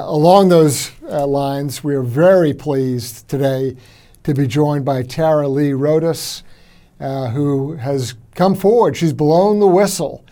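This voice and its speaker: A man hosting an interview podcast, halting and often repeating words with fillers as he introduces and questions his guest. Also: on the high side, mellow. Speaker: podcast host.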